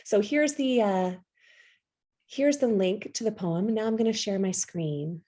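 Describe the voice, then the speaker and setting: A woman in her thirties leading a poetry workshop on a video call through earbuds, speaking gently and encouragingly with frequent ums and restarts. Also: mid-range voice, measured pace. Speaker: workshop facilitator. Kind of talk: online workshop teaching. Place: home room with bookshelves. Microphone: earbud headset on a video call.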